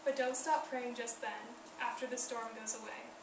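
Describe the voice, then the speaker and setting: A woman reads verse aloud in a slightly high voice. She is heard from a distance, with plenty of room echo around the words. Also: fairly even intonation, normal speed. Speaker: poetry reader. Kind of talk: poetry recitation. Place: echoey indoor event room, recorded from far off. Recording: echoey room, distant mic, noisy.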